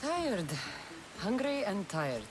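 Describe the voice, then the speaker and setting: An actress playing a weary but devoted warrior mother in a video game, wry and earnest in a measured way. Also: mid-range voice, sing-song, noisy background. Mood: tired, dry. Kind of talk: dramatic video game acting.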